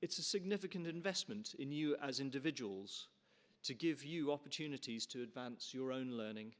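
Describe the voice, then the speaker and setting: A man's voice: mid-range voice, expressive, moderate pace. A middle-aged man from Canada, the chair, giving an earnest formal welcome speech in a conference hall with frequent restarts and fillers.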